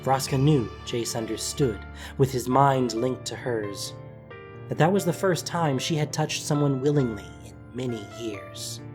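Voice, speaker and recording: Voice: mid-range voice, a little slow. A narrator reading scripted prose for an audio drama. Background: music.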